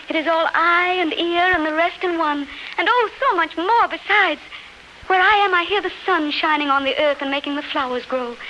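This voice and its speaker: High-voiced, rapturous ghostly beloved, scratchy radio. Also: female voice, sharp tone. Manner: lyrical, fervent, theatrical. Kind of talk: 1930s radio drama acting. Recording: noisy, scratchy 1939 radio recording.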